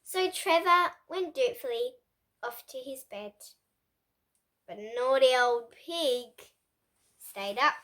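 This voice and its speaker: A young girl reading a picture book aloud as host, with expressive, dramatic storybook phrasing. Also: sits high. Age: child. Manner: slow, deliberate, dramatic phrasing.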